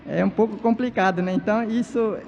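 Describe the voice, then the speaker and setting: A man whose first language is Baniwa, a pastor and missionary from Amazonas, preaching at a church conference in halting speech full of fillers and restarts. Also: high voice, creaky, darkish tone, a little hiss or hum. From Amazonas, Brazil. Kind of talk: sermon at a church leaders' conference. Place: large church hall. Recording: dull, reverberant church PA recording.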